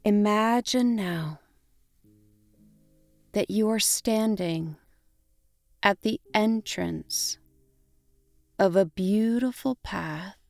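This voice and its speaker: A woman narrating a guided imagery meditation in a gentle, soothing way, in short deliberate phrases with long pauses. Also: medium pitch, gravelly, dry recording. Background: instrumental music.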